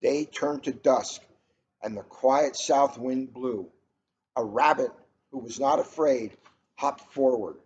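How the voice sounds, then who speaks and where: A man's voice: mid-range voice, takes it slowly, darkish tone. A middle-aged man, a police officer, reading a storybook aloud and chatting casually between passages. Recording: muffled, noisy ambient room recording.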